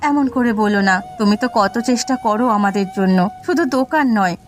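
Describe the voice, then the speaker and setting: A woman's voice: medium pitch, fairly quick, soft background music, natural voice. A woman playing a worried, tender village wife and mother in a cartoon, speaking in a plaintive, earnest way. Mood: worried, sorrowful. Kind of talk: Bengali cartoon voice acting.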